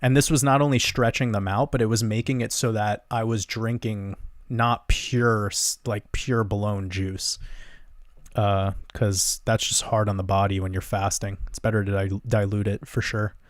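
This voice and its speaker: A young man with a gentle voice hosting a livestream, casually chatting and answering viewer comments in a relaxed, rambling way with easy laughs. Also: fairly low pitch, moderate pace, no echo, studio quality. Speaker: livestream host.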